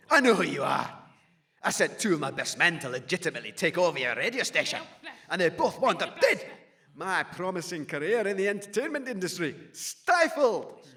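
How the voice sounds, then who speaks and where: Shrill, blustering bandit warlord. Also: male voice, sharp tone, full character voice. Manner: mocking, blustering, domineering. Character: swaggering, vain bandit warlord with frustrated showbiz ambitions. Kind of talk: comedy audio drama acting.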